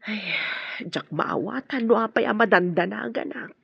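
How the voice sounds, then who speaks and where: An actress with a husky voice and Ilocano accent playing a worried, humble, caring mother in a radio drama. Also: medium pitch, normal speed, lo-fi sound, heavily acted. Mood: worried, advising.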